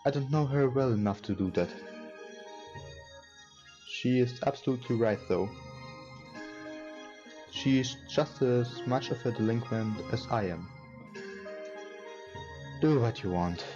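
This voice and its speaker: A man hosting a let's play, reading game text aloud haltingly and adding casual commentary. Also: medium pitch, muffled recording. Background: game music.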